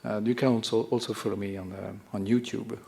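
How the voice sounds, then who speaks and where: A French-accented man introduces himself at a technical conference on a large stage. His voice is low with a gravelly, fry-laden edge, and he tends to stumble and repeat words. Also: fairly even intonation, quick pace, darkish tone. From France. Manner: stammering repeats. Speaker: conference speaker.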